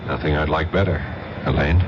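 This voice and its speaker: A man's voice: very deep voice, fairly even intonation, brisk. A man with an American accent playing a plain-spoken, easygoing but firm narcotics agent in an old-time radio drama. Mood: friendly. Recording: muffled, noisy old radio broadcast.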